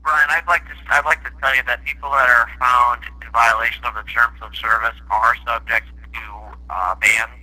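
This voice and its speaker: A man's voice: medium pitch, fairly even intonation, normal speed, hardly any pauses, bright, forceful tone, slightly rough. A man working as a customer support agent, speaking politely and procedurally over a telephone line. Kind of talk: phone customer service talk. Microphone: heard over a phone call. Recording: harsh, tinny telephone line.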